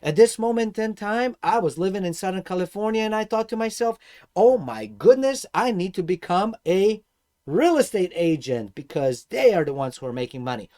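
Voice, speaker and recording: Male online instructor, middle-aged, Serbian accent, slightly high voice, emphatic and animated storyteller. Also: normal speed. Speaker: host and instructor of an investing course. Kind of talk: informal personal-story lecture to viewers.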